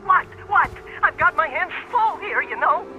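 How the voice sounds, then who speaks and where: A man's voice: extremely high pitch, lively intonation, unhurried, noisy background. A man playing an anxious, sarcastic scientist in a video game, fretful and exasperated. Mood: frazzled. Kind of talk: animated video game acting.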